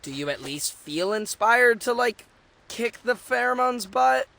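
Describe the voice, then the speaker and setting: Bright, high, slangy teen turtle. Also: male voice, animated, measured pace, creaky, heavily acted. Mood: amused, prodding. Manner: slangy, casual. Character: laid-back, brash teenage mutant turtle boy. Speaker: voice actor in a game cutscene. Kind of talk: animated cartoon game acting.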